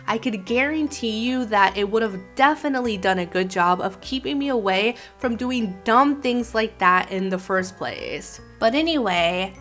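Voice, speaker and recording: Woman, mid-pitched voice, scripted commentary, conversational storytelling, expressive emphasis, background music. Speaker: host reviewing an animated horror film.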